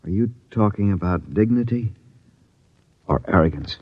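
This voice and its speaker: A man's voice: fairly low pitch, moderate pace, husky, no room sound. An actor playing an ordinary, earnest young crewman who is plainspoken and defensive, in old-time radio drama style. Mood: puzzled, defensive. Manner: plainspoken, questioning, animated. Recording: dull vintage radio recording.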